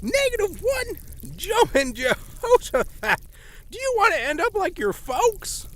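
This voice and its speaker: A stern, folksy old grandfather in an audio drama. His delivery leaps all over in pitch as he blusters and scolds in old-timey country phrases. Faint noise sits behind the voice. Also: sing-song, measured pace, a bit bright, full character voice. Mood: outraged.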